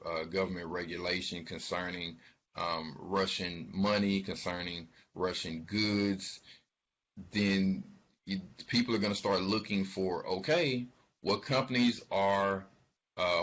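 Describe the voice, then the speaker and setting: A man giving informal financial commentary, speaking haltingly and emphatically, repeating words for stress. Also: deep voice, fairly bright tone. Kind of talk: informal spoken commentary on an earnings call. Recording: dull, lo-fi sound.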